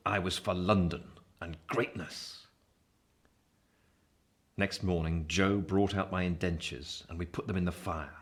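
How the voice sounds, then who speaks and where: An actor playing a reflective man narrating his own youth in a literary radio play, measured and wistful. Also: deep voice.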